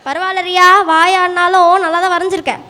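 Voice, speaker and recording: A woman's voice: extremely high pitch, flat delivery, brisk, bright, forceful tone. A woman acting as a chiding schoolteacher in an amateur church skit. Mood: exasperated, chiding. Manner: exasperated questioning, chiding. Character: scolding, teasing schoolteacher, later gently consoling. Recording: echoey hall, distant, noisy room sound.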